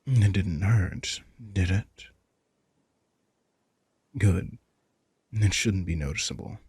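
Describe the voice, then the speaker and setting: A man voicing a possessive, teasing vampire in an intimate audio roleplay, smug and softly commanding. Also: deep voice, slow, husky, no room sound, exaggerated voice. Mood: calm, explaining. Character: possessive, teasing male vampire with hypnotic powers. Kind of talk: intimate scripted audio roleplay addressed to the listener.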